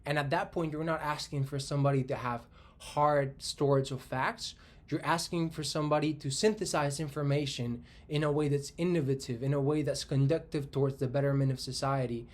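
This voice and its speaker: A man in his twenties, originally from Spain, talks alone in an easy conversational monologue, working out his argument as he speaks. He doubles back on his words and keeps checking in with "right?", and his voice sits at an ordinary middle pitch for a man. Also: a little mellow. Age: 20s. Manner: thinking aloud, frequent restarts and "right?" tags. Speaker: tech commentator. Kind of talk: casual solo monologue.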